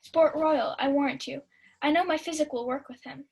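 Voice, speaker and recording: A young woman playing a shrewd, mischievous household servant in a Shakespeare radio play, brisk and conspiratorial. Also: high-pitched, fairly even intonation, creaky, a little hiss or hum. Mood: gleeful, conspiratorial. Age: young adult.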